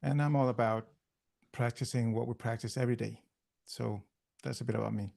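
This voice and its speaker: A man in his fifties from Chile, raised in Denmark, introducing himself in a relaxed, plain-spoken way. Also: mid-range voice, a little fast, gravelly. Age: 50s. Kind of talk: casual self-introduction.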